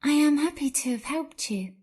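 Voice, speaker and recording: A woman's voice: high voice, expressive, normal speed, darkish tone, no echo, clean studio sound. A woman voicing a polite, kind young girl in a children's fairy tale game. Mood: sweet, polite. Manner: polite, sweet, eager. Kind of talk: children's storybook game acting.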